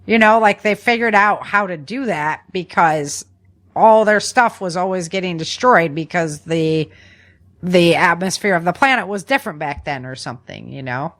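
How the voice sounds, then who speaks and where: A woman's voice: lowish voice, lively intonation, normal speed, fairly bright tone. An American woman hosting a podcast, running through news in a chatty, informal way with slangy casual commentary. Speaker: podcast host.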